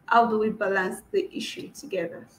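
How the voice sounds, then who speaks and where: A woman's voice: medium pitch, steady tone, a little fast. A woman hosting an interview on a video call. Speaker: host. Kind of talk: hosting a live-stream interview. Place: indoor room, on a video call. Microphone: video call device mic. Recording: echoey room, noisy video call audio.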